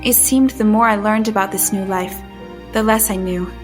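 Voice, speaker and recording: A woman's voice: mid-range voice, steady tone, normal speed, close to an everyday voice. An actress playing a bewildered, well-mannered young woman of the 19th century in an audio drama, with proper period phrasing. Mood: narrating. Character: bewildered, well-mannered young asylum escapee in 1871, narrating her tale. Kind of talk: audio drama dialogue and first-person narration. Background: music.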